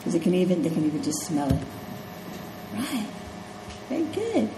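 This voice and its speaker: A woman's voice: fairly low pitch, very animated, moderate pace, background noise. Congested woman lecturing in an echoey classroom. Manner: conversational, checks in with "okay?" and "right?". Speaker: nursing instructor. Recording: echoey classroom with faint ambience.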